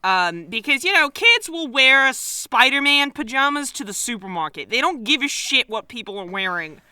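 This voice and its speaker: A man's voice: very high-pitched, sing-song, moderate pace, sharp tone, smooth, dry recording. A man co-hosting a podcast with casual comic commentary. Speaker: podcast co-host.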